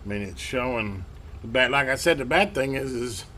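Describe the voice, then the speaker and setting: A man with a medium-pitched voice talks casually through hands-on hobby work, thinking aloud as he goes. His delivery is folksy and colloquial, with halting stops and trailing pauses. It is an amateur home recording with clear noise behind the voice. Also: expressive, sharp tone. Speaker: hobbyist host restoring and testing old radios. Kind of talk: unscripted workbench narration, casual talk.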